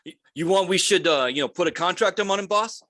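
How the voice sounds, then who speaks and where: A man's voice: sits high, fast, pushed and bright, dry recording, studio quality. A man playing a nervous, stammering henchman who defers to his boss in a table read. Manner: stammering, hesitant. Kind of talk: screenplay table read acting.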